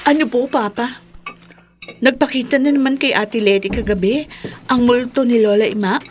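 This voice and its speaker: A woman acting as a dutiful young Filipina in a radio play. Her voice sits a little high, and she speaks with earnest feeling and a guarded edge. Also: moderate pace, close to an everyday voice. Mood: curious, respectful.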